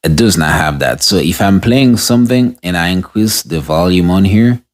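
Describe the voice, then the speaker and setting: A man with a notably deep, low voice talks slowly through a casual product review. He speaks off the cuff as he tests the device, pausing and restarting his sentences. He is recorded on a budget audio interface mic. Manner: unscripted, halting, restarts mid-sentence while testing gear.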